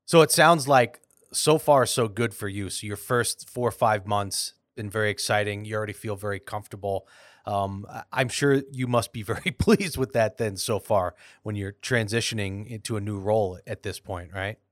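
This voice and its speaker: A man's voice: on the low side, moderate pace, no echo, studio quality. A man hosting a company podcast, asking friendly, rambling questions and laughing easily. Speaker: podcast host. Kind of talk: conversational interview.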